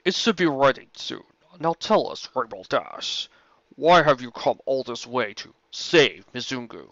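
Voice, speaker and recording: Ancient dragon played by a man: huge, courteous, deliberate, mid-pitched storybook character, slightly muffled sound. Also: lively intonation, a bit bright, no room sound. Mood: calm, hospitable. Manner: formal, measured, deliberate.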